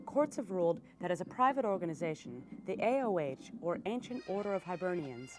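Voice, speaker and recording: Lady narrating a tv news magazine, lowish voice, steady read, bit of hiss. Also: brisk. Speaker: host narrator. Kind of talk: scripted narration.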